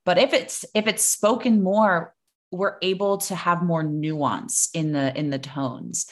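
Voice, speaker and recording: A woman's voice: on the low side, measured pace. A young woman, a singing coach, chatting on a podcast and breaking into sung demonstrations. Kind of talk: casual podcast chat.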